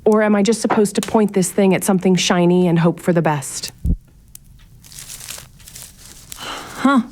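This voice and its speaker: A woman's voice: mid-range voice, fairly quick, a little mellow, slight background noise, lightly acted. An actress playing a sardonic, curious young woman in a fiction podcast drama, thinking aloud to herself in a naturalistic, exasperated way. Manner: wry, sarcastic, exasperated muttering to herself. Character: sardonic, curious young woman investigating her late relative's mysterious house. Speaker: lead actor in an audio drama.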